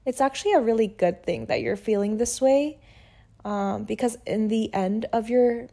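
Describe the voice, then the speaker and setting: A woman's voice: on the high side, animated, moderate pace, vocal fry, dark tone. A young woman, a native Korean speaker, talking candidly on her own podcast in a loose, filler-heavy way. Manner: casual, candid, many fillers and "like". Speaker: podcast host. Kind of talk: solo reflective podcast monologue.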